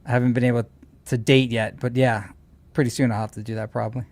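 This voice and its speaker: Guy co-hosting a stream, just chatting, opinionated and teasing, normal pitch, dry room-free sound. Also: level tone, fast, darkish tone, dry recording. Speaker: co-host or regular guest on a livestream. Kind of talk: casual chat.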